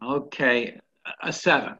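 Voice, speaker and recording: A man's voice: mid-range voice, unhurried, pushed and bright. An actor playing a fussy buddy who nitpicks and stammers over his corrections, heard through muffled call audio.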